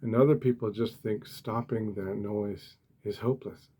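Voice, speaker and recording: A man speaking softly and gently in short, deliberate phrases with pauses, as an ASMR host giving a meditation talk. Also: fairly low pitch, fairly even intonation. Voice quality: soft, hushed, breathy.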